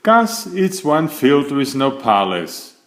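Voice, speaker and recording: Male children's story reader. Unhurried, very lively intonation, roomy echo. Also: medium pitch. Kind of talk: expressive read-aloud storytelling.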